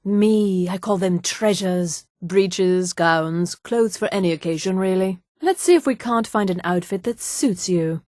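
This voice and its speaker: An actress playing a proud, haughty high elf woman in a fantasy video game, speaking with crisp, condescending articulation. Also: darkish tone, no room sound, clean studio sound, close to an everyday voice. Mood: businesslike. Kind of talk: scripted video game voice lines.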